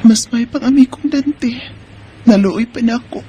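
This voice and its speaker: A woman's voice: on the high side, moderate pace, warm tone, a little hiss or hum, close to an everyday voice. An actress playing a weary, mistreated wife and mother in a Filipino radio drama, with a Bisaya accent. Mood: sorrowful, confiding. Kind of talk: Filipino radio drama acting and first-person narration. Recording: music behind studio drama voice.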